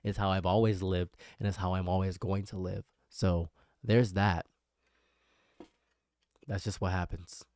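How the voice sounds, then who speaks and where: A man's voice: deep voice, level tone, a little slow, pauses often, a little mellow, dry recording. A young man with a nasal voice, rambling and self-mocking as he chats with his live stream viewers. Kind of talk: casual live stream chat.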